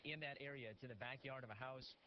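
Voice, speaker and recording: A male news anchor narrating broadcast news in a steady, explanatory way. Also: mid-range voice, fast. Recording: muffled broadcast with noise.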